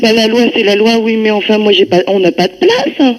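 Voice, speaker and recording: A woman's voice: on the high side, very animated, brisk, rough recording. Plain-spoken woman on the telephone. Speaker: prank call recipient. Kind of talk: spontaneous phone conversation. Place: at home, on the telephone.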